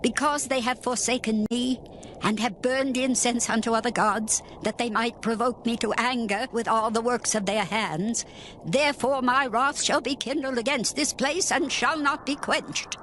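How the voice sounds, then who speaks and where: Woman playing a stern prophetess, higher-pitched voice. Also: fairly even intonation, moderate pace, fairly bright tone, a little hiss or hum, close to an everyday voice, rough recording. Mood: stern, foreboding. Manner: declamatory, oracular. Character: stern, solemn Hebrew prophetess delivering God's judgement. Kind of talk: dramatized scripture acting.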